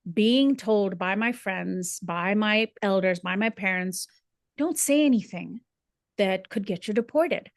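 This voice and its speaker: A woman who grew up in Dubai talks through an issue in an earnest, thoughtful way, often pausing on fillers and restarting her sentences. Her voice sits in a middle pitch. The sound is dry and close, with no room around it. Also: gravelly, no room sound. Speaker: senior correspondent. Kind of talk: conversational commentary.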